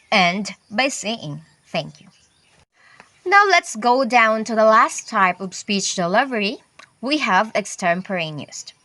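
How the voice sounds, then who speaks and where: A woman teaching a recorded lesson, explaining step by step and listing points slowly. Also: medium pitch, sharp tone, no room sound. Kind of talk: recorded lecture narration.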